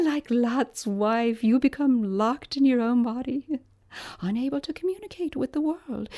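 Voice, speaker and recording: Casting: sultry villainess, breathy and dark, languid mock-tender delivery, radio drama. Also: female voice, a little high. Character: sultry, mocking villainess, spurned female lover. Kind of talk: audio drama acting.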